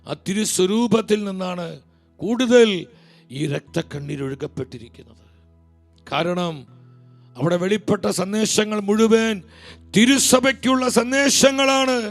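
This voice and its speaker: A male preacher delivers an impassioned sermon in a high, gravelly voice. He swings between loud declaiming and hushed pleading, and faint music plays underneath.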